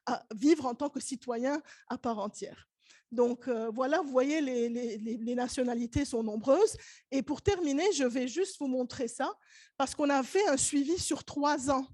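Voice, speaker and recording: Une femme chercheuse qui présente des résultats de recherche lors d'une table ronde universitaire, d'un ton sérieux et insistant. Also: female voice, high voice, darkish tone, dry recording. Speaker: researcher presenting. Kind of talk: academic panel presentation. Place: university conference hall.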